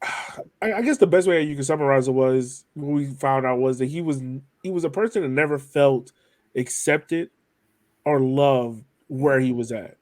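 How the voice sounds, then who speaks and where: Man, medium pitch, casual interviewer, podcast host, no room echo. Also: moderate pace, darkish tone.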